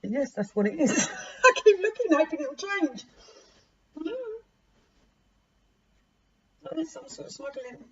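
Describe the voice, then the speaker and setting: A hoarse woman in her forties giving a casual tarot reading to camera, chatty and laughing at herself as she repeats and restarts. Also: sits high, big pitch swings, normal speed, a bit bright. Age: 40s. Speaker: tarot reader. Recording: dull lo-fi recording with faint noise.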